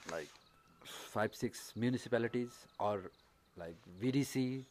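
A man's voice: mid-range voice, darkish tone. A man from Nepal with a Nepali accent, speaking English in a casual interview, hesitant and full of fillers and restarts. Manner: halting, many fillers and restarts. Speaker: interviewee, paraglider. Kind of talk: casual conversation.

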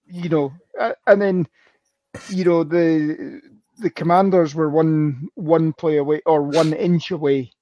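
A man's voice: fairly high pitch, fairly even intonation, slow, dark tone, no echo, dull audio. A man co-hosting a fan podcast, talking football in a rambling, casual way with many fillers. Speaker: podcast co-host. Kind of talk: casual sports chat.

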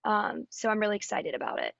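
A woman singer-songwriter with a raspy voice, chatting casually and upbeat in an interview with lots of ums and likes. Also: medium pitch, wildly swooping pitch, quick pace, fairly bright tone, no echo. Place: Nashville area. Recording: dull, dry lo-fi audio.

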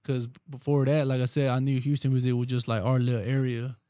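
A young Texas man with Spanish as his first language talks in a slow, laid-back drawl full of slang. His voice is dark and mellow, and his pitch barely moves. The recording is dry and close. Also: little pitch movement, no echo. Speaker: guest rapper. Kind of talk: casual podcast chat.

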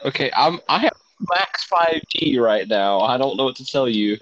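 A man's voice: mid-range voice, lively intonation, moderate pace, fairly bright tone, slight background noise. A young man bantering casually as a podcast co-host over an online call, with his voice breaking up robotically at times. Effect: choppy robotic connection distortion. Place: remote voice call. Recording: dull lo-fi online call audio.

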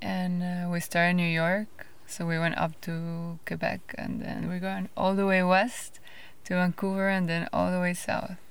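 Lowish, creaky woman from Guatemala. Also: level tone, measured pace. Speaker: interview guest, van traveler. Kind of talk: casual conversational interview. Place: inside a camper van.

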